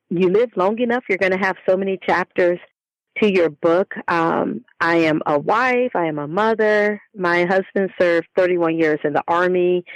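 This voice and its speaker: A middle-aged woman chatting as an interview guest, expressive and folksy, given to exclamations. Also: lowish voice, dry recording, low-quality recording. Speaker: podcast guest, author and speaker. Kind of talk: casual interview chat.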